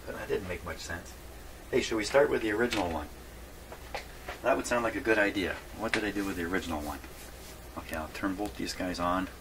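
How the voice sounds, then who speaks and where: A man's voice: low voice, a little fast, pauses often. A man giving a casual hands-on workshop demonstration, thinking aloud in a rambling way and often questioning himself. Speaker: presenter of radio repair videos. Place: small home workshop. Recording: clearly noisy small workshop recording.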